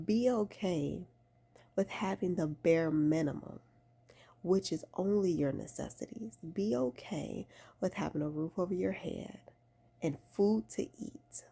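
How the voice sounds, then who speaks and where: A woman's voice: low-pitched, warm tone, husky. A woman in her late twenties talking casually and emphatically to camera, giving advice and repeating key phrases for weight. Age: late 20s. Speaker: vlogger giving faith-based lifestyle advice. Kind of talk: casual talk to camera, exhorting.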